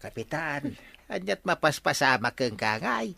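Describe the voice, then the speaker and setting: Gravelly, forceful village man. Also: a little high, big pitch swings, a little slow, dry recording, heavily acted. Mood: informative. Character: matter-of-fact male villager bearing news. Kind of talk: Ilocano radio comedy drama acting.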